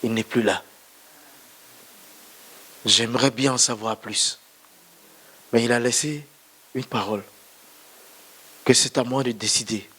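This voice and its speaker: A man's voice: mid-range voice, a little slow, long pauses, bright, forceful tone, breathy edge. Man preaching a personal testimony. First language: not French, another mother tongue. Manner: storytelling, addresses listeners directly. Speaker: pastor. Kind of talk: testimony sermon. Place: church.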